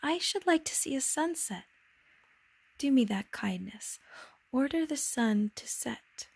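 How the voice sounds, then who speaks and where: A woman voicing a timid, earnest and curious little boy prince, speaking softly, hesitantly and politely. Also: high-pitched, lively intonation, normal speed, voice pushed up. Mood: wistful.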